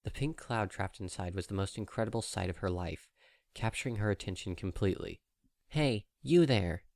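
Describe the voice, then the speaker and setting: A man with a fairly low voice narrates a story from a script. The recording is dry and close, with the delivery of an amateur audiobook of fan fiction. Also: steady tone, brisk, vocal fry, no echo.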